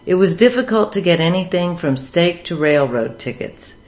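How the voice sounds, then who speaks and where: A woman's voice: lowish voice, expressive, normal speed, a little mellow, low-quality recording. A woman host reading a scripted introduction for a radio program.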